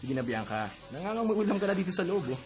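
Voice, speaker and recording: A man's voice: high-pitched, very animated, fast talker. A man acting as a caring, teasing older brother in a Filipino radio drama, speaking casually and coaxingly. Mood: gently coaxing.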